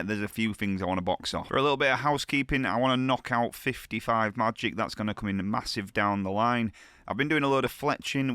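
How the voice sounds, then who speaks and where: A man's voice: fairly low pitch, sharp tone, smooth, no echo. A man with a heavy cold, talking through his gameplay in a chatty, upbeat way, full of slang. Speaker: gaming channel host. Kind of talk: gameplay commentary.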